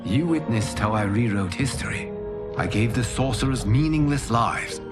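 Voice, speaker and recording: A man playing a grave, benevolent protector god in a video game, speaking in a measured, formal, commanding way. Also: medium pitch, expressive, lightly acted. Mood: grave, troubled. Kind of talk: solemn cinematic game dialogue. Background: cinematic music.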